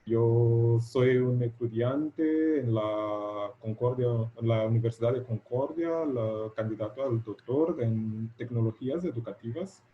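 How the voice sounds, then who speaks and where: A man whose native language is Portuguese, with that accent, giving an informal online presentation as a guest lecturer, haltingly repeating words as he searches for vocabulary. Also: medium pitch, narrow, tinny audio, a little hiss or hum. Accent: Portuguese-speaker's. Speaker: guest lecturer, doctoral candidate. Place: video call.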